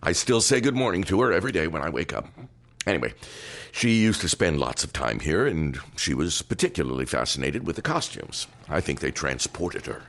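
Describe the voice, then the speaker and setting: Actor as very deep, gravelly older gentleman. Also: big pitch swings, fairly quick, full character voice. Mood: courteous, wistful. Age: 60s. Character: courteous, wistful older widowed doctor, reminiscing gently. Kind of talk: audio drama acting. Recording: faint background noise.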